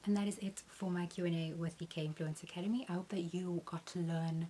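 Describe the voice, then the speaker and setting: A young woman with a low, steady voice speaks as a beauty creator answering viewer questions. She talks in an earnest, measured way, pausing with an occasional "um". A faint hiss sits under the recording. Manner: earnest, measured, uses "um" between points.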